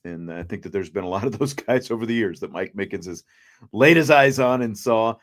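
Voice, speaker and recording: A man's voice: on the low side, lively intonation, a little fast, slight background noise. A man hosting a casual sports discussion, explaining his picks in a conversational way. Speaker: sports talk show host.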